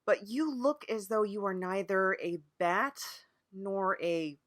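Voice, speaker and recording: Lady podcast host, mid pitch, deadpan and a bit hesitant, improvised interview, dry clean room sound. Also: takes it slowly, no room sound. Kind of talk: casual improvised comedy interview.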